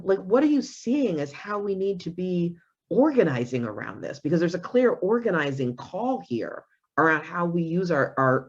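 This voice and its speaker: A woman's voice: sits low, slightly rough. A woman hosting a panel discussion, asking questions thoughtfully with many restarts. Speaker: forum host. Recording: dull lo-fi audio.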